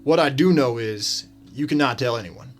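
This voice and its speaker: A man playing an earnest, modest, principled detective and mentor in a radio drama, measured and serious. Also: medium pitch, faint music behind, lightly acted. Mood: serious, confiding.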